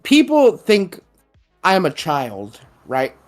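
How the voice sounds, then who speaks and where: A young man co-hosting a podcast in casual chat, with a high-pitched voice that gets mistaken for a woman's, laughing often. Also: huge pitch swings, faint music behind. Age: young adult. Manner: laughs often, slow and drawn-out. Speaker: podcast co-host.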